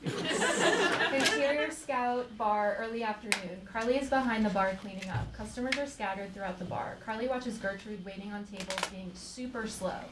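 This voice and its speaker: A woman's voice: on the high side, moderate pace, a crowd in the background. A woman reading scripted stage directions aloud at a live comedy table read. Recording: echoey, noisy live stage.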